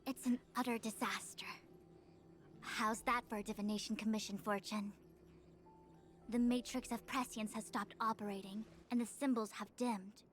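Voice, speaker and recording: A woman's voice: on the high side, steady tone, close to an everyday voice. Woman voicing a stern weary seer. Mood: exasperated. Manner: measured, formal, dryly exasperated. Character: calm stern female seer, formal and weary. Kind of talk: game cutscene voice acting.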